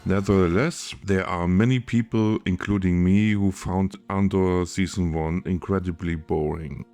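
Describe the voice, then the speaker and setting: A man with a German accent, a film editor reading a scripted video essay in a careful, measured way. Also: sits low, steady tone, mellow.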